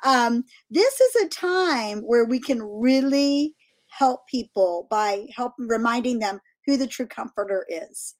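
Woman, high-pitched, host, faith-based chat, encouraging questions. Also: expressive, slow. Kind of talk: faith-based casual discussion.

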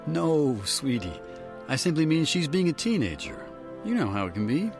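A man playing a smooth, condescending society husband and father in an audio drama, languid and urbane. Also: fairly high pitch, very animated, moderate pace. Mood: patronizing. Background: music.

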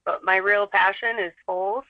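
A woman hoof trimmer talking about her work in an even, measured way, over the phone. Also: mid-range voice, no echo. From New York State. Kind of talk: phone interview. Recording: tinny phone line.

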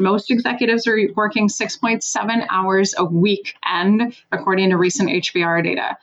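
A woman originally from Switzerland, a sales consultant guest giving emphatic, animated advice in an interview, with some casual swearing. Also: medium pitch, muffled recording.

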